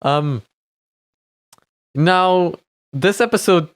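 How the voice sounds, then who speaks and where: A man's voice: high voice, very slow, smooth, dry recording, clean studio sound. A man giving casual, off-the-cuff commentary as a reaction host, hesitant and often repeating his words. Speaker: host of a reaction and analysis series.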